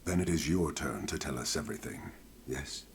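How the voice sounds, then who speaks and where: An actress playing a guarded, secretive mariner in a video game, often speaking in a hushed voice. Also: female voice, rumbling low, expressive, fairly quick, a little hiss or hum. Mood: guarded, shaken. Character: guarded, secretive female mariner with a hidden affliction.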